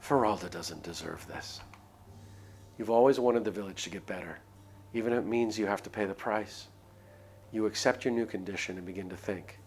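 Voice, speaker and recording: A man's voice: fairly low pitch, moderate pace, slight background noise. A man reading a visual novel aloud on a live stream, moving between dramatic narration and casual asides.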